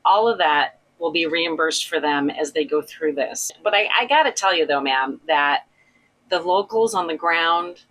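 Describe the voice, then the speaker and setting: A woman's voice: sits low, expressive, normal speed, a bit bright, poor audio. A woman, a government emergency official, giving an informal briefing on a call.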